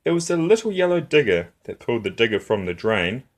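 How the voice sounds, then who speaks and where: Expressive man reading a children's story, lively intonation. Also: mid-range voice, a little fast. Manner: expressive, rhythmic reading of rhyming verse. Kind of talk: children's picture book read-aloud.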